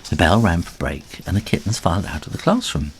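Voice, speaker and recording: A man reading a children's story aloud in a dramatic, animated storybook style. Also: low voice, a little fast, a little hiss or hum, poor audio. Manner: dramatic, with acted sound effects.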